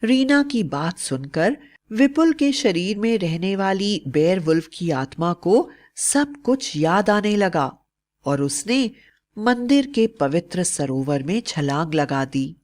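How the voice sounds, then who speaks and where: A woman storyteller with a slightly low voice. She reads a scripted fairy tale at a very slow, measured pace. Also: very animated, darkish tone.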